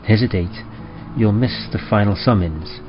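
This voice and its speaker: Deep, grave man reading radio horror. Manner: grave, deliberate, ominous delivery. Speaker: horror story narrator and presenter. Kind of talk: dramatic old-time radio horror reading. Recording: muffled, with prominent music behind. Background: music.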